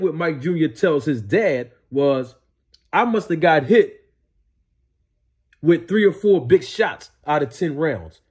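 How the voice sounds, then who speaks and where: A man's voice: sits high, darkish tone, husky. A man giving explanatory storytelling commentary as a host, emphatic, repeating his points and posing rhetorical questions. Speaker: commentator hosting a boxing commentary show.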